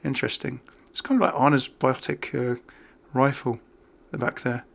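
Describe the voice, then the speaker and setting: A man's voice: medium pitch, flat delivery, normal speed, vocal fry. A British man hosting a gaming video with chatty, casual, off-the-cuff commentary. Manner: chatty, easily amused.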